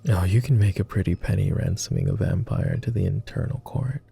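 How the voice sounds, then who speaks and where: A man's voice: low voice, brisk, creaky, dark tone, slightly rough, soft background music, full character voice. A man voicing a devoted, world-weary immortal vampire companion in intimate roleplay ASMR, speaking tenderly and earnestly in formal phrasing. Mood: wistful, earnest. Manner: tender, earnest, hesitant, somewhat formal speech.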